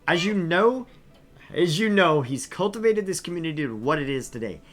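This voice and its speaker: A man hosting a let's play, reading a visual novel aloud with lively, animated delivery and joking asides. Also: fairly high pitch, normal speed.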